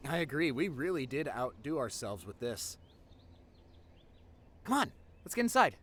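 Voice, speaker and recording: A man acting an excitable, lively-voiced nerdy boyfriend. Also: medium pitch, moderate pace, a little hiss or hum. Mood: eager, excited. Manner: stammering, excitable, affectionate, teasing. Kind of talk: boyfriend roleplay audio, intimate one-sided dialogue.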